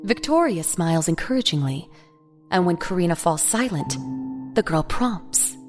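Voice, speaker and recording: A woman's voice: lowish voice, lively intonation, normal speed, soft background music. A woman reading scripted narration for an audio drama.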